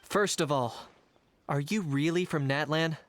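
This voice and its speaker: An actor playing a cool, unsentimental young man in a game, speaking in a dry, measured, deadpan way. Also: on the high side, natural voice. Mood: cool disbelief. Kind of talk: game voice acting. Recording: music behind the voice.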